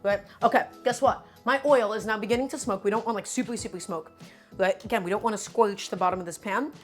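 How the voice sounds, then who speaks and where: Cooking streamer, a woman whose first language is Russian, talking at a mid pitch. Casual and instructive, she keeps calling the viewers "my friends." Soft music plays in her home kitchen. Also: fast talker. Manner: chatty, instructive, often says "my friends". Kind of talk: live cooking demonstration.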